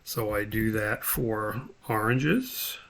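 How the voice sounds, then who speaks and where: A man's voice: lowish voice, animated, slow pace, vocal fry, a bit bright. A man giving an informal screen tutorial as an instructor, thinking aloud step by step as he demonstrates.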